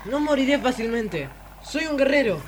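A man's voice: very high voice, lively intonation, moderate pace, a little hiss or hum. A man playing a brave, anguished young boy warrior in a radio drama, earnest and defiant.

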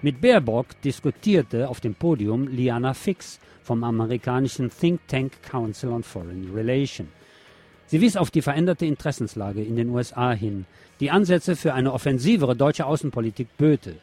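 A man's voice: mid-range voice, animated, moderate pace, mellow. A man hosting a radio show, reading news articles aloud in a sardonic way with mocking asides.

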